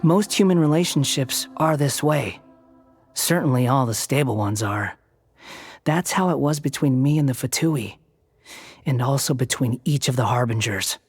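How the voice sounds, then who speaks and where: A man's voice: medium pitch, moderate pace, husky, no room sound. A man voicing an aloof, cunning male puppet and former villain who speaks in a cool, sardonic drawl. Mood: cynical. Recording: game audio with faint music.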